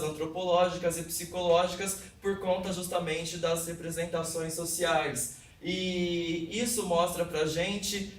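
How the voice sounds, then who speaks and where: A man with a Brazilian accent giving an academic presentation, explaining in a halting way and correcting himself as he goes. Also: high voice. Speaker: presenter of a research paper. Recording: echoey room, distant mic, faint noise.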